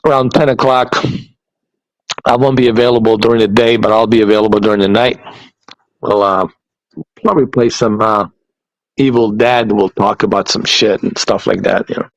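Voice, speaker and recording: A man's voice: on the low side, fairly even intonation, normal speed, pauses often, dry recording, low-quality recording. A man hosting a casual stream and podcast, laughing often and swearing freely. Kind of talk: casual chat.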